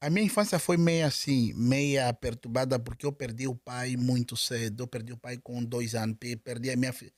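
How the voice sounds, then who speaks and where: A man's voice: medium pitch, steady tone, normal speed, hardly any pauses, no room sound. A man with an Angolan Portuguese accent, talking as an interview guest and often stumbling and repeating words. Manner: hesitant, with many repeated words and restarts. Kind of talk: casual interview conversation.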